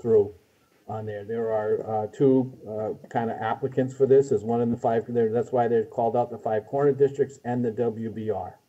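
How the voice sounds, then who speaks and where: Halting man, dark muffled voice. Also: mid-range voice, steady tone. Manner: halting, trailing off. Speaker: city planning official. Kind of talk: explaining zoning at a meeting. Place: small office with hard ceiling, indoors. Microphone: video call. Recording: dull lo-fi video call audio.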